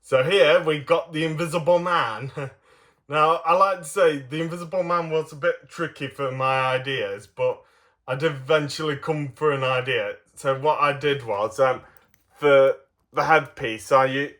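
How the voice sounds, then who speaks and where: A man's voice: medium pitch, a bit bright. Rambling, enthusiastic man showing off his hobby. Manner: enthusiastic, rambling, halting mid-sentence. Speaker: hobbyist presenter of custom toy figures. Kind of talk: casual show-and-tell.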